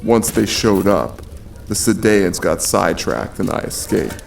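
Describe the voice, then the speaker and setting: Big streetwise protector, low gravelly voice, gruff and bossy, blunt fantasy audio drama dialogue. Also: male voice, lively intonation, a little slow, a little hiss or hum, full character voice. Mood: conspiratorial. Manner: blunt, scolding, commanding.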